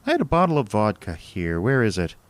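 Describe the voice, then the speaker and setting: Casting: middle-aged American husband, secret drinker, bitter and defensive, sarcastic formality, very lively intonation, dry close sound, audio drama. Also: male voice, on the low side, fairly quick, no room sound. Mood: sardonic. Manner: sarcastic, overly proper when tipsy. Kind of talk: naturalistic audio drama acting.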